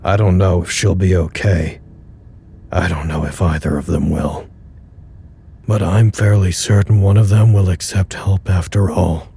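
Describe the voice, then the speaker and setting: A sad worn-out staffer recording a personal log, soft dark tone, halting, audio drama, music behind him. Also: male voice, low-pitched, fairly even intonation, creaky, slightly rough, heavily acted. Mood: somber, grieving. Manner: subdued, halting, confessional. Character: grieving, weary male facility staffer broadcasting a personal log.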